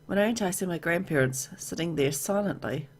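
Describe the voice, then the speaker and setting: A woman's voice: lowish voice, brisk, slight background noise. A woman reading a visual novel aloud as she plays, mixing narration with casual asides.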